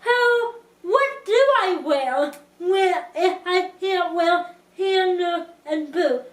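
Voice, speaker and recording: Young woman vlogger, very slow deliberate delivery, roomy distant sound. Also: very high-pitched, lively intonation. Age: young adult. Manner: slow, careful speech with restarts. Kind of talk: casual talk to camera.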